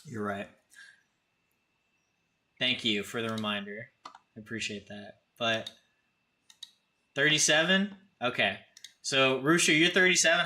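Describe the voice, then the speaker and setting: A man's voice: mid-range voice, pushed and bright. A man in his thirties hosting a casual livestream, talking informally and directly to his viewers. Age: 30s. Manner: informal, conversational, addresses chatters directly. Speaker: live streamer, host.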